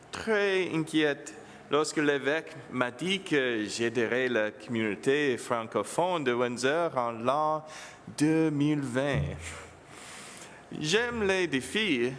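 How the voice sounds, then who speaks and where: A priest whose first language is English, speaking French with an English accent, giving a homily to children in a halting manner and slipping English words in. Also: male voice, fairly high pitch, fairly bright tone, long reverb tail, a little hiss or hum.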